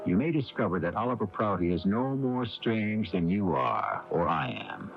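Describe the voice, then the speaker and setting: A man hosting a radio mystery with deliberate, dramatic scripted narration. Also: deep voice, sing-song, vocal fry. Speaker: host narrator. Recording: dull taped AM radio broadcast. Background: music.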